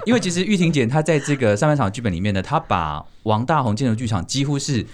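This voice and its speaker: A man's voice: mid-range voice, very animated, quick pace, slight background noise. A man hosting a podcast in a conversational style, sometimes mixing in English words. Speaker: podcast host.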